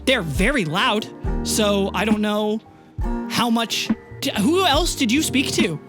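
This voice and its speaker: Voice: mid-range voice, lively intonation, slow, music in the background. Game master playing tense, bossy female agent. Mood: tense, urgent.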